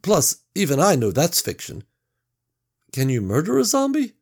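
Nervous rambling young nerd, played by a male reader, raised voice, gravelly edge. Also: a little high, wildly swooping pitch, moderate pace, a little mellow, pitched above the performer's own voice, heavily acted. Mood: uneasy. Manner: hesitant, rambling. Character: nervous bullied young man, zombie-lore nerd.

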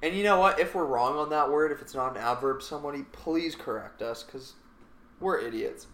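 A man's voice: on the high side, brisk, a bit bright. A man of twenty-four bantering casually and self-deprecatingly as a podcast host, swearing freely, his voice prone to cracking. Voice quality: voice cracks now and then. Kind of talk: casual profane banter with a friend. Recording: echoey room with faint background noise.

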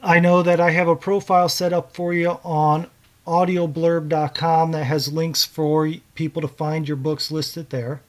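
A man's voice: on the high side, level tone, unhurried. A man hosting a podcast, interviewing a guest author in a casual, conversational way.

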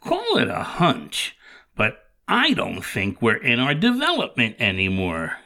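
A man's voice: mid-range voice, huge pitch swings, unhurried, gravelly, heavy background noise, full character voice. A man playing an offbeat, easygoing neighbour in an audio drama, hesitant and droll.

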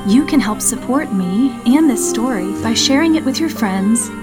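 A woman's voice: fairly high pitch, lively intonation, moderate pace, over loud music. A woman giving scripted narration for a fiction podcast trailer.

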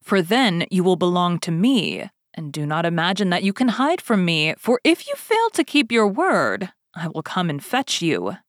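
A woman performs a fearsome fairy-tale beast, male and quick to anger. The delivery is stern, loud and imperious, recorded very dry. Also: fairly low pitch, sing-song, no echo. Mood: stern, demanding. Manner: stern, imperious, loudly declaiming. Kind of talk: storybook character voice.

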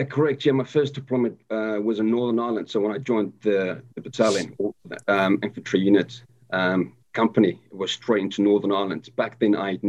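A man's voice: lowish voice, a little mellow. A man from Africa, a guest telling his story in a casual interview, hesitant with many ums and restarts. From South Africa. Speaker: guest, army veteran. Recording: dull lo-fi sound.

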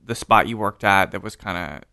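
An interviewer asking questions in a relaxed, casual chat, who swears offhandedly and drops quick interjections. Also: low voice, clean tone. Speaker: interviewer, host.

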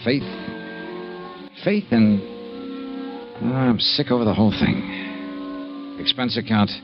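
A man's voice: mid-range voice, slow pace. A man playing a tough, world-weary insurance investigator in 1950s radio drama style. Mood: bitter, weary. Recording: muffled vintage radio recording, faint music.

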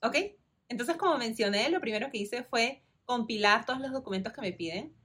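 A woman's voice: a little high, animated, brisk, a bit bright, slightly rough. A woman in her thirties from Peru with a Peruvian Spanish accent, hosting a podcast and explaining a process in a friendly, step-by-step way. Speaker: podcast host. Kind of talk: informative solo podcast.

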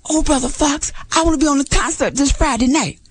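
A woman's voice: sits high, a little fast, dry recording, close to an everyday voice. A woman voicing an earnest, eager young male frog in a dramatic, insistent way for a children's story. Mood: pleading, eager.

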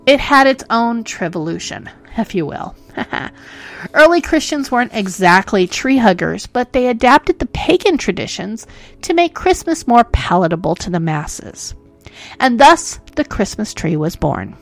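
Playful woman hosting a comedy history podcast. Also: on the high side. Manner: playful and self-mocking, with frequent jokes and laughs. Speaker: podcast host.

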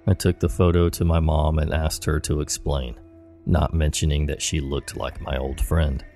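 Very deep gravelly male narrator. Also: level tone, normal speed, dark tone, soft background music. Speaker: horror story narrator. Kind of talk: scripted narration of reader-submitted scary stories.

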